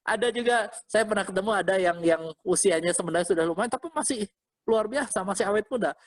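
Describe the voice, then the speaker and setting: A man's voice: high voice, fast talker. A man preaching as a pastor in an informal, interactive way, repeating phrases and checking in with listeners. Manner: interactive, often checking with listeners using short questions; animated, exhorting, repeats phrases. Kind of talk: informal conversational sermon to an online congregation.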